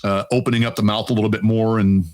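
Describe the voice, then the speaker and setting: A man in his fifties with a deep, resonant voice that sits on the low side. He tells stories in a relaxed, chatty manner, acts out his own past remarks and laughs easily. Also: fast talker. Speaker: Air Force veteran guest. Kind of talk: casual storytelling interview.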